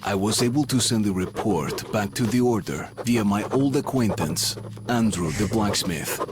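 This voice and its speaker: An actor playing a young soldier hero, narrating events in the first person in a subdued, reflective way for a video game. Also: male voice, on the low side, lively intonation, normal speed, noisy background, lightly acted. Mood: pensive, grim. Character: pensive young male soldier, the game's hero, recounting events.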